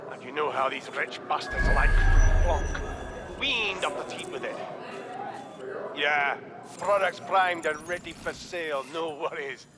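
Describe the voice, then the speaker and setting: A man's voice: on the high side, measured pace, over a noisy crowd, natural voice. An actor playing a crude, cruel trafficker who bullies his captives. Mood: gruff. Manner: crude, bullying. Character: brutal male human trafficker, crude and cruel.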